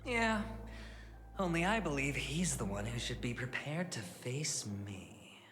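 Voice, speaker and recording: Flamboyant, smug young schemer over music. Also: male voice, medium pitch, sing-song, unhurried. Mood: sly, confident. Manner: teasing, theatrical, drops into conspiratorial murmurs. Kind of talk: video game cutscene acting. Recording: loud background music.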